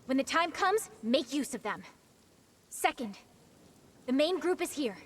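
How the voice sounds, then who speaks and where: An actress playing a confident, battle-hardened woman who briskly guides her hunter partner in a video game. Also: high-pitched, very animated. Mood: urgent, resolute.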